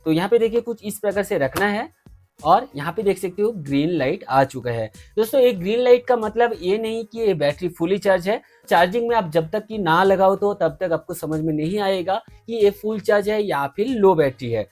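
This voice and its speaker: A man's voice: high-pitched, lively intonation, normal speed, a little mellow. A man hosting a tech review, explaining a product step by step in an instructional, friendly-address style with repeated stock phrases. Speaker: tech review channel host. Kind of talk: step-by-step product demonstration to camera. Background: faint background music.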